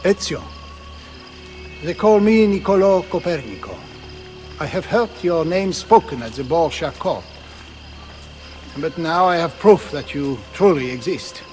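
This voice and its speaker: An earnest Renaissance scholar for a video game, high for a male voice and very animated. He reasons aloud in formal, philosophical phrases, with faint music behind him. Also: unhurried, long pauses. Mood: wary, puzzled.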